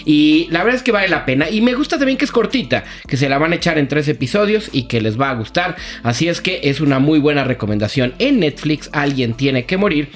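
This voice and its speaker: A man's voice: on the high side, lively intonation, fairly quick, few pauses. A man with a Mexican accent giving a casual, colloquial review as a critic on a talk show, telling plots like gossip. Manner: colloquial, gossipy storytelling with slang.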